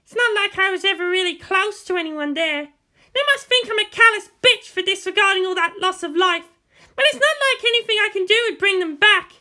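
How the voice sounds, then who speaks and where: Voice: very high-pitched, expressive, fairly quick, sharp tone, pitched above the performer's own voice, heavily acted. A narrator voicing a proud, anguished teenage girl pilot in an intense, insistent inner monologue for a story reading. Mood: desperate, determined.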